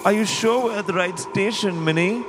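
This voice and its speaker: A man's voice: sits high, brisk, background noise, natural voice. An actor voicing Len, a timid cartoon boy who hesitates and complains about spooky places. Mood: nervous. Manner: nervous, hesitant, whiny. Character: timid nervous boy Len, reluctant friend. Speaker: cartoon character.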